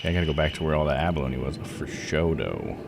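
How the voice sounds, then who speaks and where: A man's voice: rumbling low, sing-song, quick pace, gravelly, very noisy. A man streaming a video game, giving casual, slangy live commentary and muttering to himself with mild swearing. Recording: loud game music behind the voice. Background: video game music.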